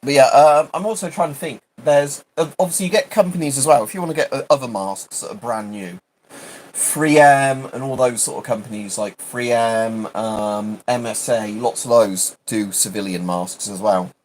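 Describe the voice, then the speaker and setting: A man's voice: mid-range voice, normal speed, a little mellow, slight background noise. A British man chatting casually on a livestream, rambling and hesitant, often interrupting himself. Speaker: livestream host.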